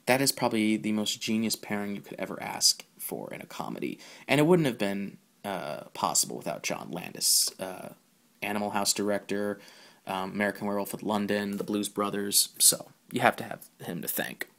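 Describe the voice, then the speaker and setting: A man hosting a podcast, giving a casual, informal solo film review in a halting way. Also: fairly low pitch, expressive, gravelly, a bit bright.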